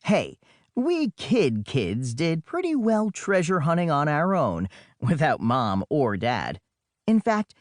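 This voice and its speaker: A man narrates a children's adventure in a highly animated, swooping voice that sits fairly high for a male. He takes his time and drops in wry asides like a boy telling his own story. The sound is dry and close. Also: no echo. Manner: dramatic, wry conversational asides. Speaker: audiobook narrator.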